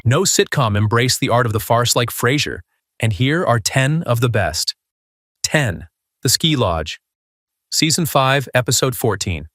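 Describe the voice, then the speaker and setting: A man's voice: mid-range voice, lively intonation, measured pace, a bit bright, no room sound. A man hosting a video countdown, reading a scripted narration.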